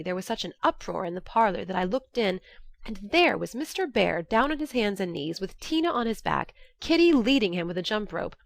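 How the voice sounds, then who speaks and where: A woman's voice: mid-range voice, no room sound. A woman narrating an audiobook, reading a classic novel's first-person letters as scripted narration.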